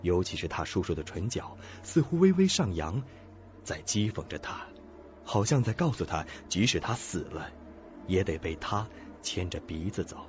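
A man's voice: sits low, big pitch swings, moderate pace, background noise. A man narrating a scripted suspense radio drama as storyteller. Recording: dull lo-fi audio with faint music.

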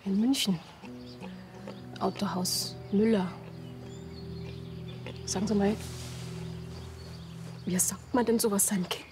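A woman's voice: medium pitch, fairly even intonation, slow pace, pauses often, music in the background, natural voice. Anxious farm wife in her forties played by a woman. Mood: subdued. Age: 40s. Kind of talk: TV crime comedy acting.

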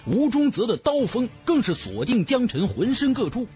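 A man's voice: very high-pitched, sing-song, normal speed, a little mellow, faint music behind. A man narrating a fantasy novel in a theatrical, emphatic style, as a scripted audiobook.

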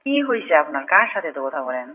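A woman's voice: sits low, sing-song, fast, a crowd in the background. A Bangladeshi woman chatting casually on a recorded phone call, with brief replies and teasing questions.

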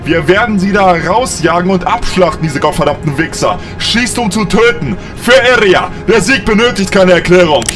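A man plays a ruthless, commanding military officer in a sci-fi audio drama. His voice is high for a man and he talks bluntly, cursing as he goes. Music plays clearly behind him. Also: moderate pace, few pauses, natural voice. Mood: grim, commanding. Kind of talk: science fiction audio drama acting.

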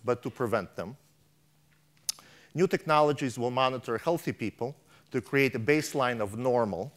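A middle-aged man giving a keynote on a large conference stage, reading a prepared speech from a tablet with frequent restarts and stumbles, on a lapel mic. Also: medium pitch. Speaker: keynote speaker.

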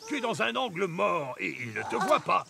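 A man voicing a friendly, gruff talking dump truck in a dubbed children's cartoon. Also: high voice, very animated, a little fast, background noise, full character voice. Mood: urgent warning. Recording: loud music behind.

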